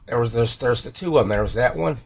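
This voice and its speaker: Male repair technician, heavy fry and a husky edge, unscripted and rambling, thinking aloud. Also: medium pitch, quick pace. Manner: rambling, hesitant, self-correcting. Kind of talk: casual unscripted repair walkthrough.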